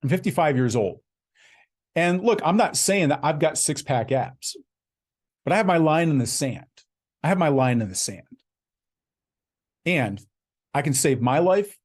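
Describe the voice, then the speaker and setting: A man's voice: mid-range voice, darkish tone. A man in his fifties hosting a podcast, delivering a blunt, emphatic motivational monologue. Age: 50s. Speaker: podcast host.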